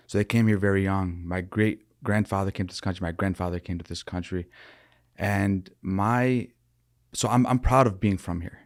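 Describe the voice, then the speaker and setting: Podcast guest: young man, deep monotone voice, thoughtful and earnest, dry close sound. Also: no room sound. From Michigan, USA. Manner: earnest, thoughtful, with many restarts and fillers. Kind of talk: reflective interview talk.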